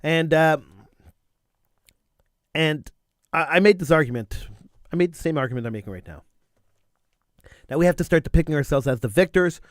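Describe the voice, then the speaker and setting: A man's voice: a little high, lively intonation, moderate pace, long pauses, no room sound, studio quality. A middle-aged man hosting a talk radio show, speaking emphatically and repeating phrases for stress, with a slight cold wearing on his voice. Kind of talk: impassioned talk radio monologue.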